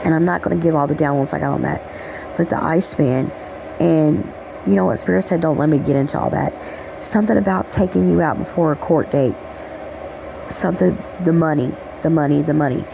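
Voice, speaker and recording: A woman with a raspy voice giving a rambling, affectionate spiritual message, checking in with "okay?" as she talks. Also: sits low, brisk, background noise. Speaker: spiritual channeler. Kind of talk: casual spiritual message monologue.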